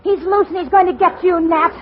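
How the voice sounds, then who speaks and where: High-voiced scheming radio femme fatale. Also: female voice, steady tone, a little fast. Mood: vengeful, taunting. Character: scheming, vengeful girlfriend who feigns devotion. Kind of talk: 1940s-style radio melodrama acting. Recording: muffled, noisy old radio drama recording.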